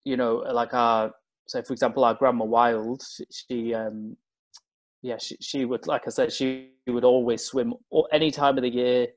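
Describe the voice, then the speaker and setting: A man's voice: mid-range voice, flat delivery, normal speed, dry recording. A young man from Cumbria in England, chatting as a guest in an interview, hesitant and full of fillers and restarts. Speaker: guest, open-water swimmer. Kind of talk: casual interview chat.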